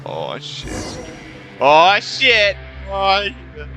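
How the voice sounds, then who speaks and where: A man streaming a game with casual, excitable live reactions, full of exclamations. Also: high-pitched, very slow, soft background music.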